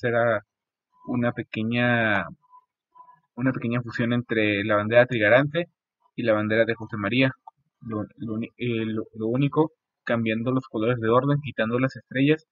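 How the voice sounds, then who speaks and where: A young man giving an informal history presentation in a halting way, often repeating his phrases. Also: mid-range voice, steady tone, no room sound. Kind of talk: informal school-style history presentation over slides. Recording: muffled, phone-like narow band, dry.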